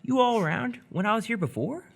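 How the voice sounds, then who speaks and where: Hesitant teenage boy with swinging pitch, acted by a man. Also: expressive, gravelly, heavily acted. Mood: teasing, excited. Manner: hesitant, halting, casual. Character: laconic 17-year-old boy cyclist, awkward, polite, dry-humored. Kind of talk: live radio theatre acting. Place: live stage performance before an audience.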